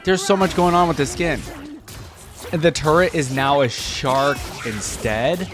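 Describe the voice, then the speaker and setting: A man's voice: fairly high pitch, measured pace. A young man giving casual running commentary as a reaction host, thinking aloud and breaking off into exclamations. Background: music and game audio.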